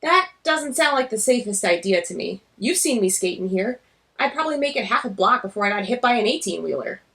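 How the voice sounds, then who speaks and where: A woman's voice: a little high, animated, fairly quick, fairly bright tone. A woman reading a graphic novel as a nervous, self-deprecating girl who narrates in a wry, glum way. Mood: wry, wary.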